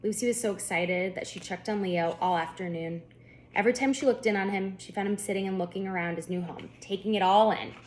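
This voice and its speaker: A woman author narrating her children's book at storytime, with expressive, deliberate phrasing for young listeners. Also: medium pitch, slight background noise. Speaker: children's author reading aloud.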